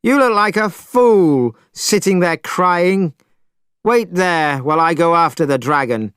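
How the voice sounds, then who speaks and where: A man voicing an impatient, fierce monkey hero who shouts and scolds. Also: a little high, lively intonation, measured pace, clean tone, no room sound, clean studio sound, voice pushed up. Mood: angry shouting. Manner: shouting, hectoring.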